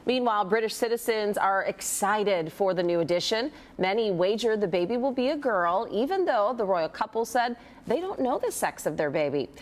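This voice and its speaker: A woman's voice: mid-range voice, expressive, normal speed, hardly any pauses. A woman presenting morning TV news as an anchor, in a conversational style.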